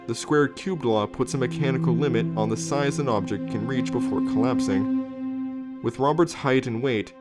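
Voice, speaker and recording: A man hosting and narrating a scripted informational documentary. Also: medium pitch. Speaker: host and narrator. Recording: music under the voice. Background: background music.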